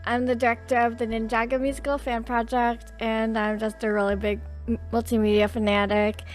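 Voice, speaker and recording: A woman's voice: on the high side, level tone, a little fast, soft background music. A woman speaking casually as a guest on a fan podcast. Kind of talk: casual self-introduction and chat.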